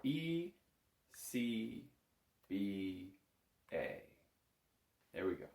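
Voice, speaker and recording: A man's voice: lowish voice, lively intonation, very slow, creaky, a little mellow. A man giving a step-by-step music lesson as an instructor, patiently counting and calling things out. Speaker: bagpipe instructor. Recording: roomy, echoing room sound.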